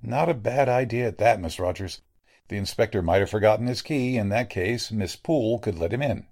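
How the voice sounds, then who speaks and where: A man's voice: lowish voice, fairly quick, darkish tone. A man acting a polite, evasive, grave morgue doctor in a 1940s-style radio drama, hesitant and formal. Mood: courteous. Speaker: actor in an audio drama.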